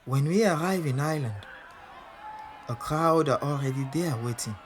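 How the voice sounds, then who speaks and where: A man's voice: fairly high pitch, normal speed, lightly acted. An actor playing a proud, defiant world champion boxer who narrates his own story in a boastful, wry way for an audio drama. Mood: wry narrating. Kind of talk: audio drama monologue and dialogue. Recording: clear background noise and ambience.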